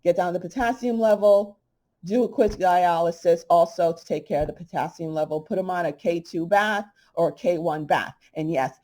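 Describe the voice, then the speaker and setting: A middle-aged woman talking straight to her audience in a blunt, emphatic, conversational way. Her voice is a little hoarse, mellow and dark-toned, and sits on the low side for a woman. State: slightly hoarse. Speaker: holistic health channel host. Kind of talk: casual monologue to camera.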